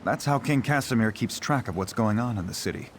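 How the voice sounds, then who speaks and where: An actor playing a guarded, brusque town grocer in a fantasy video game. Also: male voice, lowish voice, animated, fairly quick, slight background noise, close to an everyday voice. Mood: wary. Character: wary, irritable male town grocer.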